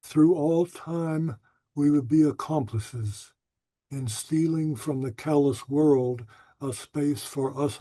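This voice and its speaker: A man speaking as a poet, introducing and reading his own verse aloud in a measured, deliberate way. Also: medium pitch, mellow, no room sound.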